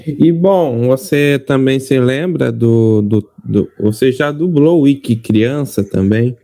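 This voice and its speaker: Brazilian male podcast interviewer. Unhurried, halting delivery, soft dark tone. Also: medium pitch. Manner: halting, searching for words. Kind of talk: casual interview.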